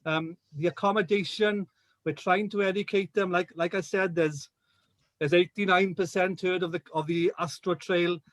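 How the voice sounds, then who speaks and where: A man's voice: high voice, fairly even intonation, moderate pace, no room sound. A Welsh man as a radio guest, chatting casually and often repeating words as he searches for them. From Wales. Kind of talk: casual chat on a radio show. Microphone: video call.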